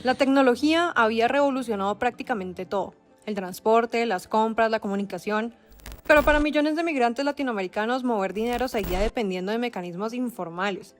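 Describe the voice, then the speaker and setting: A woman narrating a documentary script in a measured, dramatic storytelling cadence. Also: a little high, faint music behind.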